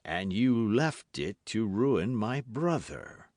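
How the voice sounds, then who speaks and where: A man voicing a grim, calm executioner who speaks slowly and gravely. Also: on the low side, no echo, clean studio sound. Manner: slow, grave, deliberate. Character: grim executioner in red cloak, calm and pious.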